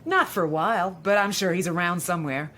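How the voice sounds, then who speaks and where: An actress playing a gracious, unsuspecting hostess in a naturalistic radio drama. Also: female voice, lowish voice, quick pace, sharp tone. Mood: polite. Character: gracious, unsuspecting female hostess of a big house.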